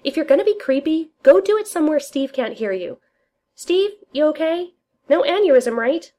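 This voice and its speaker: Outspoken young woman, exasperated, pitched up, played by a woman. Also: animated, moderate pace. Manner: loud, high and exasperated.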